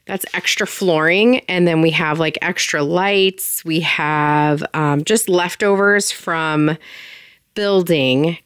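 Woman vlogger chatting thru her basement storage, lots of ums n likes, smooth lowish voice. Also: a bit bright, no room sound, studio quality. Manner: chatty, filler-heavy, enthusiastic. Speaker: home organizing vlogger. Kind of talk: casual walkthrough chat. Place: home basement storage room.

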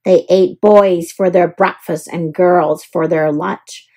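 A woman reading a children's picture book aloud as an expressive storyteller. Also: on the low side, slow.

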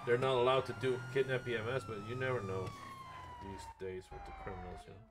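Man, casual roleplay gamer chat, mid-pitched, highly varied intonation, faint vehicle and siren noise. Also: wildly swooping pitch, fast talker. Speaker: live streamer playing a paramedic in online roleplay.

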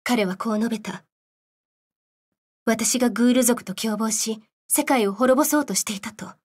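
深刻な女性科学者、少し高めのかすれ声. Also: female voice, a little high, husky. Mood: grave, bitter. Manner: measured, serious storytelling. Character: solemn female scientist recounting a grim past. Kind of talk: dramatic game cutscene narration.

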